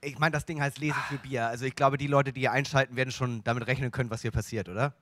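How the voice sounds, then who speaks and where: A man's voice: mid-range voice, fairly even intonation, very fast, fairly bright tone, dry recording. Jokey male host on a dry stage. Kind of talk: casual stage hosting. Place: stage without audience.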